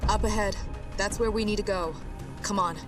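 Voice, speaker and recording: A woman's voice: medium pitch, steady tone, moderate pace, lightly acted. Lady doing a practical professor in a sci-fi game, wry, big score behind her. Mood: wry, focused. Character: practical, wry female science professor on a mission. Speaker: scientist in a game cutscene. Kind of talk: dramatic video game acting. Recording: music behind the voice.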